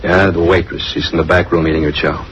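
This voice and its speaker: Actor as a grumbling, fast-talking American bartender. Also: male voice, low voice, flat delivery, natural voice. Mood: resigned. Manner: wisecracking, exasperated, slangy. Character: grumbling, sarcastic male cafe bartender, uncooperative. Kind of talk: 1950s radio crime drama acting. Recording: noisy old radio recording.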